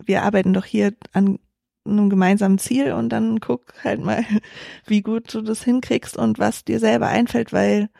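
Junge Frau mit knarziger, dunkler Stimme. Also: female voice, medium pitch, little pitch movement, moderate pace, vocal fry, warm tone, no room sound, studio quality. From Germany. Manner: thoughtful, many fillers and restarts. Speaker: startup founder, guest. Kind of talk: reflective interview answers.

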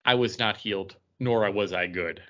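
A man with a bright, tense edge to his mid-pitched voice chats on a podcast. He tells personal anecdotes casually. The sound is slightly lo-fi. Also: normal speed. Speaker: podcast host. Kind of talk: casual chat, telling anecdotes.

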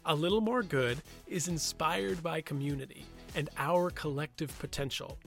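A man's voice: fairly high pitch, moderate pace, vocal fry, fairly bright tone, faint music behind. A man hosting a podcast, moving between scripted introduction and casual conversation. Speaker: podcast host.

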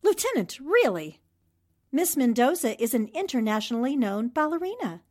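A woman playing a sharp, polite, articulate newspaper reporter in 1940s radio drama style. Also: high-pitched, big pitch swings, moderate pace. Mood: politely correcting.

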